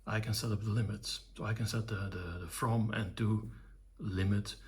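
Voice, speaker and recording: Husky, gravelly older man explaining electronics. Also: lowish voice, fairly even intonation, normal speed. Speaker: electronics hobbyist presenting own project. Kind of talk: informal technical demonstration.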